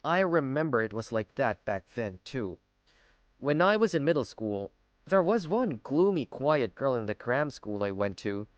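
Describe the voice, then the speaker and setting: A man's voice: medium pitch, big pitch swings, unhurried, no echo, highs cut off. A man voicing a kind, self-assured teenage schoolboy who narrates his own story in an anime-style dub, earnest and a little smug. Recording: music bed behind voice.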